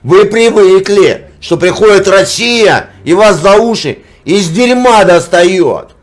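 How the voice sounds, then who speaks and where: A man's voice: high voice, animated, slow pace. A man hosting, arguing hotly and scornfully with a stranger, swearing and repeating his demands. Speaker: channel host arguing with a stranger. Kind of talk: heated argument, swearing.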